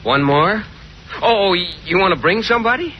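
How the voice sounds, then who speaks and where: An actor playing a shy, naive American biology teacher with a hesitant, innocent manner in a 1940s radio sitcom. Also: male voice, high voice, animated, normal speed. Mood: puzzled. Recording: muffled 1940s radio recording, faint noise.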